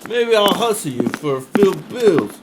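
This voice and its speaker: A man's voice: high-pitched, sing-song, a little slow, heavy background noise. A man playing a 1920s gangster boss, genial but menacing, talking in slangy wiseguy patter in a noir radio drama. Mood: breezy, hospitable.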